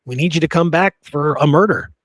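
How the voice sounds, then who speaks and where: A man's voice: fairly high pitch, fairly quick, a bit bright, no room sound, studio quality. A man talking casually about history as a podcast host, repeating phrases for emphasis.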